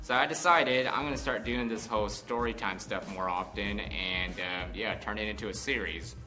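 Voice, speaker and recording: A man's voice: on the low side, normal speed, sharp tone, faint music behind. An American man in his twenties telling a casual, unscripted story in a rambling, conversational way. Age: 20s. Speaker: vlog host.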